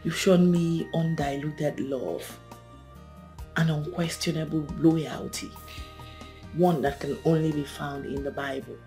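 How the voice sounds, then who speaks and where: An actress playing a young bride-to-be who speaks earnestly and tenderly to a devoted friend, sometimes in a whisper. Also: female voice, sits low, fairly even intonation, slow, mellow, soft background music. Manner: earnest, solemn, partly whispered.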